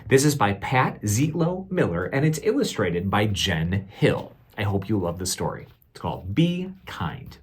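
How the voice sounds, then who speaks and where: A man reading a picture book aloud like a teacher to children, expressive and deliberate, pausing to put questions to young listeners. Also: on the low side, slight background noise.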